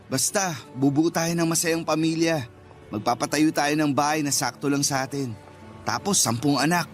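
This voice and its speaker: A man's voice: on the high side, normal speed, faint music behind, natural voice. A Filipino man acting a playful, affectionate young boyfriend in a radio romance drama, teasing and earnest. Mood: eager, earnest. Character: sweet-talking, playful young boyfriend promising marriage.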